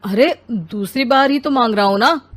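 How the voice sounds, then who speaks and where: A woman voicing a grumpy, demanding husband and father in an animated family story, complaining and dismissive. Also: on the high side, moderate pace, close to an everyday voice.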